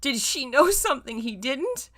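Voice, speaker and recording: A woman's voice: high voice, sing-song, normal speed, sharp tone. A woman reading fan fiction aloud in a dramatic way, breaking off for casual, self-mocking asides.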